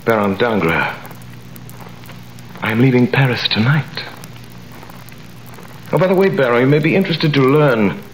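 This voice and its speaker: An actor playing a vengeful nobleman in a 1930s radio drama, with theatrical, commanding delivery that swings from a cold hush to thundering denunciation. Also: medium pitch, animated, normal speed, long pauses, slight background noise, lightly acted. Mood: icy. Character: vengeful nobleman, a wronged man returned in triumph. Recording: old radio recording in a roomy space.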